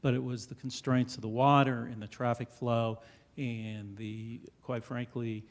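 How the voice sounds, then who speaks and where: A man representing a developer, presenting to a town board in a council chamber, loud and full of fillers. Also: medium pitch, flat delivery, a little slow. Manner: loud and hesitant, many fillers.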